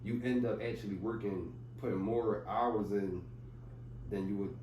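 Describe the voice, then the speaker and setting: A man in his thirties talks in an easy, rambling way, his speech loose and full of filler. His voice sits very low. He was recorded in an echoey room, some distance from the mic, with steady background noise. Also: measured pace. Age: 30s. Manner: laid-back, talks in loose run-on phrases with many "like"s. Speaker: podcast guest, entrepreneur. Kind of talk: casual chat.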